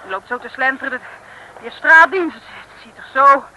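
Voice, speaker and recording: A plucky young female sidekick from an old Dutch radio comedy. Her voice is high and extremely bright, with hesitant repeated words, on a slightly noisy vintage recording. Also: a little fast. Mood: doubtful, coaxing. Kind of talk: 1960s Dutch comic radio serial acting.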